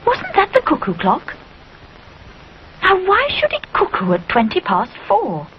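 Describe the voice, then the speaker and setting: A woman's voice: high voice, unhurried, dull audio, slight background noise, pitched above the performer's own voice, full character voice. A woman voicing an eager, helpful young boy bear for a children's storybook, in a small, childlike, earnest voice. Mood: puzzled. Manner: excitable, earnest.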